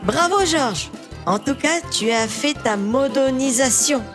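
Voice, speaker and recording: Ethan, a high-pitched earnest little boy train. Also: lively intonation, a little slow, over music. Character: Ethan, young male train, earnest, protective, excitable friend. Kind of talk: French children's cartoon dub.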